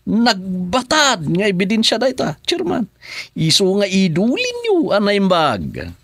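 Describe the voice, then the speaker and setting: A man's voice: high voice, slow pace, soft background music, heavily acted. An actor with an Ilocano Filipino accent playing a clownish, eager village watchman with exaggerated delivery, in a comedy radio drama. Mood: clownish, insistent. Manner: exaggerated, swooping, excitable.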